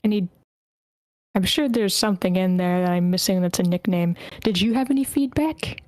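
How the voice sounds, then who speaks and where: A woman's voice: fairly low pitch, moderate pace, darkish tone, dry recording. Dry, close-miked woman moderating. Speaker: moderator of an online table read. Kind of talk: informal moderating, calling on speakers.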